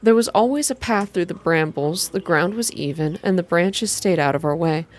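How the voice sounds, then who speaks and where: A woman reading a story aloud in a soft-spoken, measured, atmospheric ASMR style. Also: fairly low pitch. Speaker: reader of a video game story.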